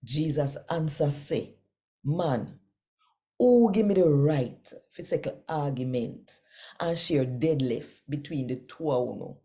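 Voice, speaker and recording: A woman with a Jamaican accent who hosts and reads scripture expressively, addressing listeners directly and deliberately. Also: deep voice, expressive, mellow. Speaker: channel host and scripture reader. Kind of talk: expressive scripture reading and channel presenting.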